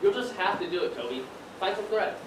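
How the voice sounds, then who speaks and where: A man's voice: high voice, lively intonation, a little fast, recorded from a distance. A teenage male student acting as a dreamy, determined young factory worker in a stage play, with earnest, projected delivery. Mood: urgent. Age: teens. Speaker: student actor. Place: stage in a large room, distant mic. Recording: roomy, noisy stage recording from afar.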